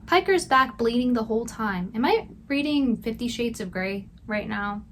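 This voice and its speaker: A woman's voice: on the high side, animated, moderate pace, a little hiss or hum. A woman giving scripted commentary with sarcastic, mocking asides. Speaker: video commentator.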